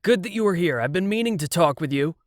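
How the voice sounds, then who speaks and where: A man's voice: a little high, animated, fast, no room sound, clean studio sound, voice pushed up, close to an everyday voice. A man reading aloud and voicing a nervous, evasive male TV producer. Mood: wheedling.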